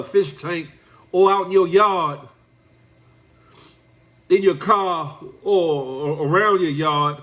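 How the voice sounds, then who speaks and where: Nasal, congested man lecturing slowly. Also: sits high, a bit bright. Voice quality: nasal, stuffed up. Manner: drawn-out, preachy, addresses the subject as "my brother". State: congested from allergies. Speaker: YouTube commentator. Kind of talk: direct-address commentary vlog.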